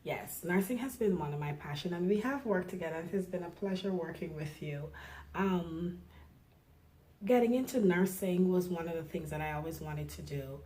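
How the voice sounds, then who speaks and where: A middle-aged woman from Guyana, a nurse, speaking softly and reflectively in a personal interview, pausing often with fillers. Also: fairly low pitch, poor audio. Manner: soft-spoken, reflective, halting with fillers.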